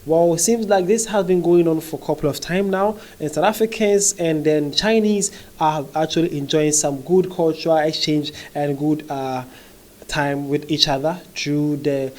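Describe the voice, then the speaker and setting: A man's voice: a little high, fairly even intonation, normal speed. Man reacting to online comments. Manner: conversational, frequent fillers and "okay?" check-ins. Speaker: commentary vlogger. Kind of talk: reading and reacting to social media comments.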